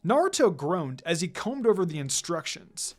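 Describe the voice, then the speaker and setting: A narrator reading a story aloud in an expressive, dramatic audiobook style. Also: mid-range voice, moderate pace. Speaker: fan fiction reader, narrator. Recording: music behind the voice. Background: background music.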